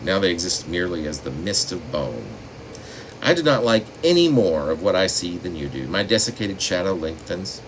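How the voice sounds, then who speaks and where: Male poet, very deep register, reads in measured dramatic phrases, faint room noise behind him. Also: rough recording. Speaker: poet reading own work. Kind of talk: poetry reading with spoken asides. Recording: steady room noise, air conditioning hum.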